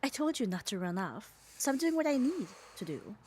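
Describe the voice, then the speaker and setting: A woman's voice: a little high, sing-song, a little fast, a little mellow. Woman acting firm, caring muscular yandere, faint noise. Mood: firm, teasing. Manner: coaxing, firm, tender reassurance. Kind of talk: ASMR roleplay audio drama.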